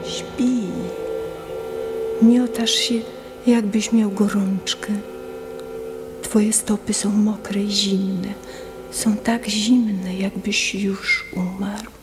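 Worried wife, radio play, medium pitch, very drawn-out, hushed, incantatory. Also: female voice. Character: anxious wife watching over her feverish man. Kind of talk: radio play acting, poetic.

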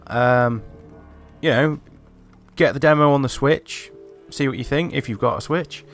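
A man's voice: medium pitch, unhurried, faint music behind. A man giving casual first-impressions commentary as he plays a video game. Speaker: game reviewer and streamer.

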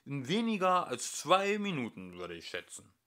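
A man voicing a cool, offhand stranger who delivers alarming news as if it were nothing. The voice is bright and plain, casual and a little quick. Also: a little high, wildly swooping pitch, voice pushed up, full character voice. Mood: offhand calm. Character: calm, offhand alien man in a pub.

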